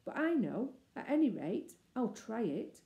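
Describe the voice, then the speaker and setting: A woman's voice: fairly high pitch, sing-song, measured pace, creaky, dull audio, full character voice. A woman voicing a clever, bold schoolboy in a storybook, confident and declamatory. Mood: scornful, decided. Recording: roomy, echoey indoor sound.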